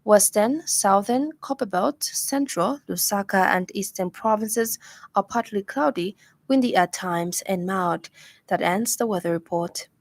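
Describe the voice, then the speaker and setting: A woman's voice: medium pitch, a little slow, slight background noise. A woman with a Zambian accent reading a scripted radio news bulletin as presenter.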